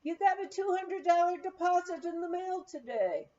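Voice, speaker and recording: A woman's voice: very high-pitched, expressive, normal speed, warm tone, pitched above the performer's own voice. A woman voicing a brash, good-hearted female ranch cook in a blunt, folksy manner for a memoir reading, slightly dull recording. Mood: nosy, matter-of-fact.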